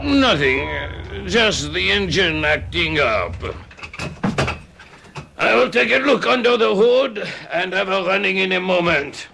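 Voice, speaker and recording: An actor playing an evasive small-town taxi driver with a gravelly, deliberate delivery in a radio drama. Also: male voice, high voice, animated, full character voice. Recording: noisy old radio recording.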